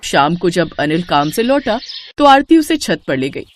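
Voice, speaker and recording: Animated woman narrating a storybook. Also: lowish voice, normal speed, a little hiss or hum.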